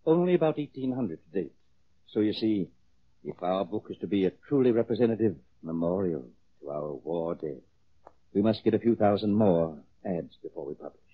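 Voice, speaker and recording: A man in an old radio drama playing a smooth, evasive crooked businessman with a placating, controlling manner. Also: sits low, animated, moderate pace. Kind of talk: 1940s radio acting. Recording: muffled old radio recording.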